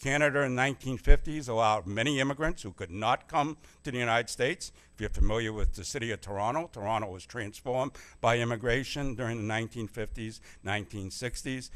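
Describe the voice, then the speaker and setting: A man's voice: medium pitch, fairly quick, hardly any pauses. A man, a history professor, giving a plain-spoken public lecture at a church forum on a microphone. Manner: plain-spoken, self-deprecating opening, steady explanatory delivery. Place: church meeting room. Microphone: handheld or stand microphone.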